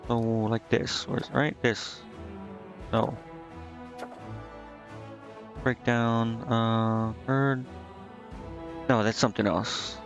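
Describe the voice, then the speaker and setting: Gravelly-voiced man casually muttering through a game. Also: medium pitch, fairly even intonation, drawn-out words, long pauses. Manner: hesitant, muttering to himself as he thinks. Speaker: game streamer. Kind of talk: casual live game commentary, reading on-screen text aloud. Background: game music.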